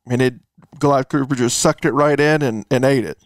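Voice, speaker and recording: A man hosting a casual interview podcast, halting and rephrasing as he goes. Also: mid-range voice, steady tone, no room sound. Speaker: podcast host.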